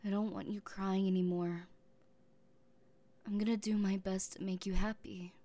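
A young woman playing an obsessive, possessive young woman who has taken the one she loves, speaking softly and haltingly and often dropping into whispers. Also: mid-range voice, level tone, close to an everyday voice. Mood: tender. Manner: soft-spoken, halting, drifting into whispers. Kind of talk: audio roleplay.

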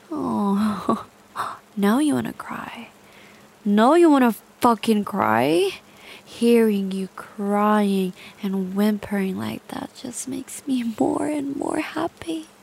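A woman voicing an obsessive young female stalker who whispers breathily and swings between cooing and yelling in an audio roleplay. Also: mid-range voice, creaky, breathy edge, heavily acted. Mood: threatening. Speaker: voice actor.

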